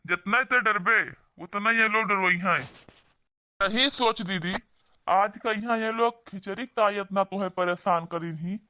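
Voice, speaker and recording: Man playing an indignant village brother, high and piercing. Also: bright, forceful tone, no echo. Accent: Awadhi. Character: protective, indignant younger brother from a poor village. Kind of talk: cartoon dialogue.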